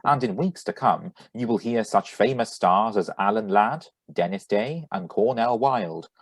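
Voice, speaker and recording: A man playing an enthusiastic 1940s radio announcer and sponsor pitchman with a husky voice, read over a video call. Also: animated, a little slow, no echo, heavily acted. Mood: formal announcing.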